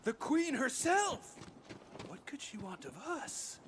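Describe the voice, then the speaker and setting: This is a self-assured but slightly dim adventurer in a video game. His pitch swings widely while he talks slowly. There is faint noise behind him. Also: sing-song, a bit bright, full character voice. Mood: awed.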